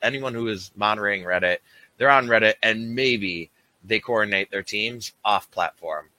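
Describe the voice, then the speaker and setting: A man's voice: on the low side, normal speed, pushed and bright, no room sound, low-quality recording. A man talking in a rambling, filler-heavy way in a casual group voice chat, swearing now and then. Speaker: Reddit moderator in a group voice chat.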